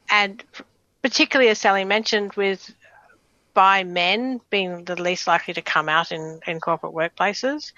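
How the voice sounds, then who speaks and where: A woman's voice: mid-range voice, sharp tone, no room sound, lo-fi sound. A woman speaking earnestly as an advocacy guest on a radio interview. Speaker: guest, advocacy group president.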